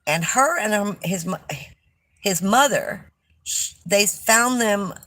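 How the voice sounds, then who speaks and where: An older woman from California, hosting a casual livestream, chatting with viewers and telling stories in a loud voice. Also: mid-range voice, animated, slow pace. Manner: chatty, warm storytelling with restarts. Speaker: livestream host. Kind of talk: casual livestream chat reading viewer comments.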